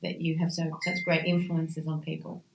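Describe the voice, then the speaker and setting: Woman host, lowish voice, chatty reflective interviewer, echoey room with faint background hum. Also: monotone, moderate pace, creaky, dull audio. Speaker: interviewer, cyclist. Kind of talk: casual sit-down chat.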